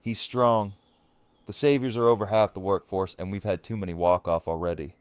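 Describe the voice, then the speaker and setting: A man's voice: sits low, steady tone, normal speed, clean tone, dry recording, close to an everyday voice. A man playing a firm, weary survivor leader in an audio drama, speaking earnestly and with command. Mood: frustrated.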